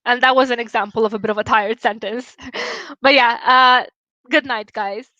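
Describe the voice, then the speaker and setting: A woman's voice: fairly high pitch, lively intonation, moderate pace, sharp tone, dry recording, lo-fi sound. A young woman from Romania with a Romanian accent, chatting candidly with her livestream viewers and swearing casually. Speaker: livestream host.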